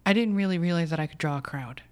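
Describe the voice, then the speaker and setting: A woman in her thirties, a singer-songwriter, chatting casually as a podcast guest, self-deprecating and quick to laugh. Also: fairly low pitch, a little mellow.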